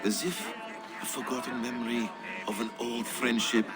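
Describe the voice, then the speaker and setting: An actor playing a courteous, measured master of a secret assassin brotherhood in a video game, eloquent and philosophical. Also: male voice, mid-range voice, steady tone, over loud music, natural voice. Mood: reflective, courteous. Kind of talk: video game cutscene acting.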